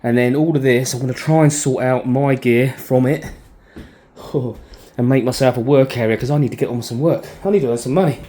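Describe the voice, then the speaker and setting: A man's voice: medium pitch, a little fast, warm tone. A British man vlogging casually, thinking aloud in an informal, chatty way as he shows things around him. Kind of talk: casual video diary, talking while showing the space.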